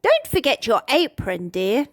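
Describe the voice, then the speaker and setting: Woman voicing a kindly British mother-hen schoolteacher, very animated. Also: sits high, measured pace, no echo, clean studio sound, heavily acted. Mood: encouraging. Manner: encouraging, motherly, expressive. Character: kindly, fussing female hen schoolteacher in a storybook.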